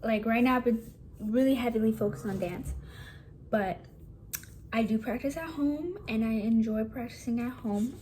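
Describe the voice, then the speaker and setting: A young woman with a naturally high voice, talking casually and rambling a little as she shares acting tips from home. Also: moderate pace, warm tone, slight background noise, rough recording. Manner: chatty, rambling, self-correcting, casual. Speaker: vlogger.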